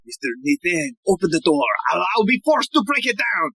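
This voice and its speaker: A woman playing a bumbling, excitable police inspector, a man, in a blustering, theatrical audio play style. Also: fairly low pitch, a little fast, slightly rough, dry recording, exaggerated voice. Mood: blustering, urgent.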